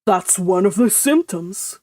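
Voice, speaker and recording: Woman playing a pompous old uncle, blunt, commanding, slow and firm. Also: mid-range voice, expressive, warm tone, dry recording. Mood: stern. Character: stern pompous elderly uncle.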